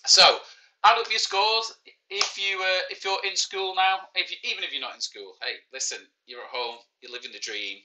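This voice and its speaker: A man's voice: high voice, normal speed, background noise. A man in his forties with a Manchester accent, a teacher hosting a live online quiz in a casual, joking, self-mocking way. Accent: Mancunian. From Manchester, England. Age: 40s. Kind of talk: casual livestreamed lesson.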